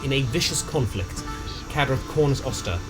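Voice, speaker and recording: A man with a medium-pitched voice tells the story of a tabletop game session. His delivery is dramatic yet casual, with bits of slang along the way. Music plays clearly behind him throughout. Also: animated, moderate pace. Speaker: narrator of a tabletop game recap. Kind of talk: narrated story recap, semi-scripted, casual.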